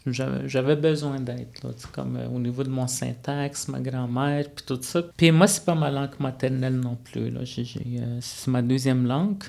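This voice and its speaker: Une femme de langue maternelle atikamekw, à l'québécois, invitée d'une entrevue, qui parle de façon réfléchie avec beaucoup d'hésitations. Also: female voice, rumbling low, fairly even intonation, moderate pace, gravelly, few pauses, dark tone. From Quebec. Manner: reflective, frequent fillers and restarts. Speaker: guest, student life facilitator. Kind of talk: conversational podcast interview.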